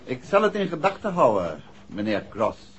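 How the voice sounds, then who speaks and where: An actor playing a shrewd, courteous detective who puts calm, measured questions in a 1960s radio drama. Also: male voice, mid-range voice. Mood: dry, insistent. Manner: polite, measured, probing questions. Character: shrewd, courteous male amateur detective, probing calmly. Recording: dull, muffled 1960s radio recording.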